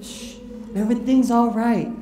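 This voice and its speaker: A man's voice: extremely high pitch, moderate pace, slight background noise. A soothing father of the bride. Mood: reassuring. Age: middle-aged. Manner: calm, reassuring. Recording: echoey vintage film soundtrack with score.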